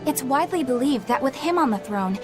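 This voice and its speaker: A woman's voice: high-pitched, lively intonation, brisk. An actress playing an earnest, polite young woman exorcist in a video game cutscene. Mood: earnest, wondering. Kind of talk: animated game cutscene acting. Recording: background music over dialogue.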